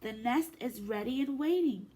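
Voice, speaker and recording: A woman preschool teacher reading a picture book aloud to young children in an expressive, sing-song way. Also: fairly high pitch, moderate pace. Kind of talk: children's story time read-aloud.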